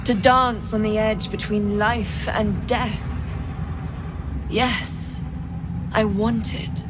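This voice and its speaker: Slow, creepy, condescending blood trader. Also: female voice, mid-range voice, steady tone, heavy background noise. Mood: hungry, condescending. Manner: drawn-out, formal, condescending. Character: creepy, imperious female blood trader, eerily polite, craving a bite. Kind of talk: video game character acting. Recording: loud music behind the voice.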